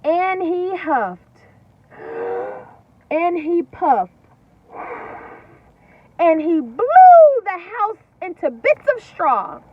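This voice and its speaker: A woman teacher reading a story aloud to young children, emphatic and singsong, pausing to ask questions. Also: very high voice, wildly swooping pitch. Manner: singsong, emphatic, asks questions and pauses for answers. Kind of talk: interactive storybook read-aloud. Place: at home. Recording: distant mic, lo-fi, faint noise.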